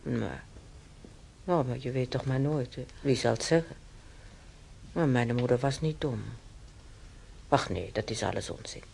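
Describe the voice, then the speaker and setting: A woman's voice: rumbling low, a little slow, gravelly, long pauses, darkish tone, full character voice. An actress playing a chatty, wistful hospital patient in her seventies in a radio drama, rambling and homely as she reminisces. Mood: fond, proud. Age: 70s. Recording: noisy old radio play recording.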